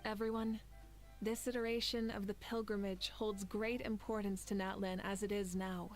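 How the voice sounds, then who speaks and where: A woman voicing a calm, confident leader who speaks in an assured, reassuring way in a game cutscene. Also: medium pitch, normal speed, soft background music, lightly acted. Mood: commanding.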